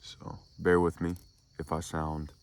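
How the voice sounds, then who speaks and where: A man hosting a soft-spoken spiritual ASMR talk, congested and nasal from a cold, musing slowly and reflectively. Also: completely flat delivery. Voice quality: deep, gravelly. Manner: slow, meandering reflective musing. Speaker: ASMR spiritual channel host.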